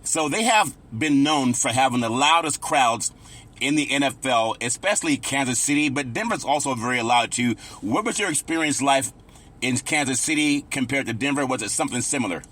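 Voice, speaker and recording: A man's voice: mid-range voice, animated, normal speed, fairly bright tone. A man hosting a sports talk show, asking a guest questions and giving frequent short affirmations. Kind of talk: radio interview.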